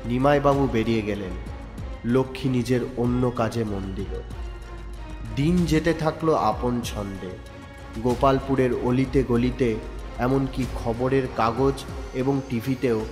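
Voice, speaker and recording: A man narrating a Bengali crime audio story in a steady storytelling manner. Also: medium pitch, slow, pauses often, in a large hall, music in the background.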